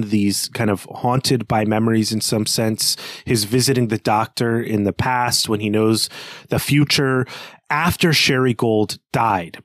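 A man with a mid-range voice discusses literature as a podcast co-host. He is analytical and works out his reading aloud in halting phrases. The recording is dry, without room echo. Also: mid-range voice.

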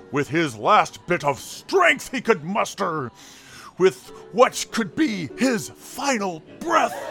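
An actor playing a theatrical tavern storyteller in a fantasy audio drama, grandiose and suspenseful, with drawn-out pauses. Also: male voice, high voice, vocal fry, sharp tone, heavily acted. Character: theatrical tavern storyteller, a showman spinning heroic tales.

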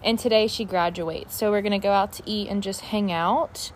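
A woman's voice: mid-range voice, fairly even intonation, moderate pace, a little hiss or hum, low-quality recording. Chatty young woman with a lilt. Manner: chatty and candid, many fillers like "like" and "uh". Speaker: podcast host. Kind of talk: casual solo podcast chat. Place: outdoors in her backyard.

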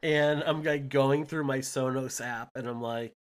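A man with a Boston accent co-hosts a podcast and throws in playful wisecracks. His voice sits at an ordinary middle pitch. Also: steady tone, measured pace. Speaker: podcast co-host. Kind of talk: casual chat.

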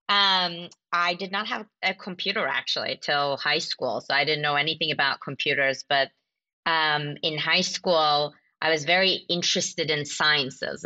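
Young Turkish-born woman, podcast guest, low pitch, reflective, a bit dull-sounding. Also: sharp tone. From Turkey. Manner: thoughtful, with frequent fillers and restarts. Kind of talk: conversational interview answers.

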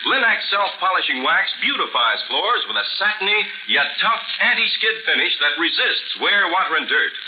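A man's voice: sits high, sing-song, normal speed, hardly any pauses. A man announcing in emphatic, salesmanlike 1940s radio style, on a noisy, tinny old recording.

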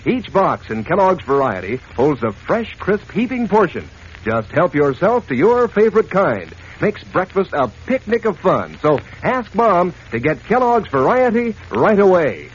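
A man working as a commercial announcer, reading a scripted sales pitch in 1940s radio style. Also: big pitch swings, a little slow. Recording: muffled old radio recording, faint noise.

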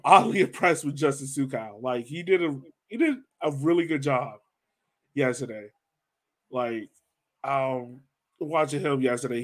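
A man talking casually as a soccer podcast host, informal and thinking aloud as he goes. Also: medium pitch. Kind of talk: casual soccer post-match discussion.

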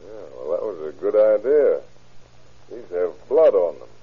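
A man's voice: low voice, big pitch swings, measured pace, slightly rough, exaggerated voice. An actor playing a shrewd, dryly persistent American lawman who questions suspects in an old-time radio crime drama. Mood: pointed. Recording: muffled vintage radio recording.

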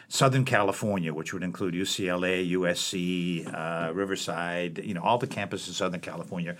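An older man, a professor and book editor, speaking in a relaxed interview with many fillers and restarts. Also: low-pitched. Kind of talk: conversational interview.

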